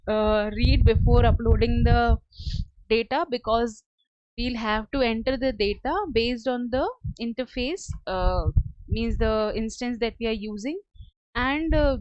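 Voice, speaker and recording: A woman working as a software trainer, giving a step-by-step screen tutorial in a hesitant, halting way. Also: a little high, dry recording. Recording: dull lo-fi close dry audio.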